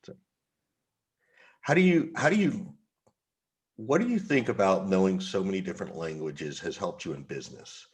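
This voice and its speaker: Deep-voiced male podcast host. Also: expressive. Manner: curious, quick follow-up questions. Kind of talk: casual interview.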